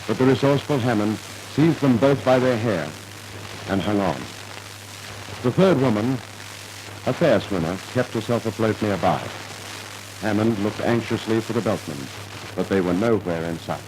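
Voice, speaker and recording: A man's voice: fairly low pitch, moderate pace, pauses often, background noise. A man narrating a scripted 1950s radio drama in a formal, dramatic storytelling manner, on an old radio recording.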